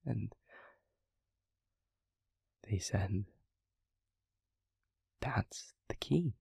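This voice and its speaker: A man playing a gentle, reflective demon mentor in an intimate audio roleplay, speaking softly and haltingly in a low, breathy, gravelly voice. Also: animated, no echo, studio quality, exaggerated voice. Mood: reflective, earnest. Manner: halting, intimate, soft-spoken with long pauses. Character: gentle reflective male demon steward, fondly confiding.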